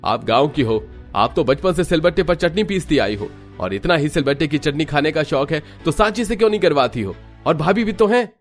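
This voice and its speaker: Guy doing young husband all worked up and protective, voice pushed higher, cartoon story, music behind. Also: expressive, fairly quick, close to an everyday voice. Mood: indignant. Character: indignant young husband defending his wife. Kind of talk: Hindi animated family story dialogue.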